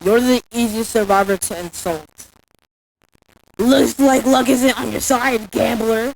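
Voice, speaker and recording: A heavily creaky, high-pitched game villain, played by a woman, full of boastful menace. The killer delivers mocking taunts at an unhurried pace, savoring contempt for the prey. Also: fairly even intonation, darkish tone, slight background noise, low-quality recording. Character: taunting, boastful, bloodthirsty speed-obsessed killer monster. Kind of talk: video game character voice lines.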